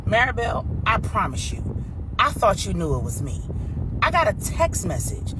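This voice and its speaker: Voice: mid-range voice, huge pitch swings, moderate pace, noisy background. A storyteller voicing a man who pleads his innocence in an emphatic, insistent, defensive way, as in a bedtime drama for grown-ups. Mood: exasperated, defensive. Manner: emphatic, insistent, explaining at length.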